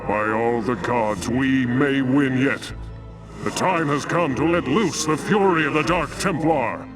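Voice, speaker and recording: An actor playing a noble, resolute alien war leader in a video game, with a stately, solemn, rousing way of speaking. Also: male voice, medium pitch, lightly acted. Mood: grateful, rousing. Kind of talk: dramatic video game acting. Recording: orchestral game music behind.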